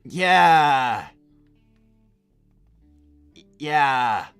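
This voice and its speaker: Woman doing a awkward hedgehog boy, low gravelly voice, stammers a lot, nervous, roleplay stream. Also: big pitch swings, drawn-out words, no echo, soft background music, exaggerated voice. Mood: awkward, hesitant. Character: awkward, hesitant young male hedgehog. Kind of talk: improvised character roleplay livestream.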